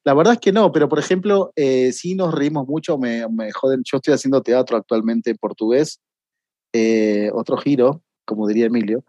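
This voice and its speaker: A man's voice: medium pitch, normal speed, mellow, no echo, highs cut off. A man from Argentina with an Argentine accent, chatting casually as a guest, with many fillers. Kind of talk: casual conversation.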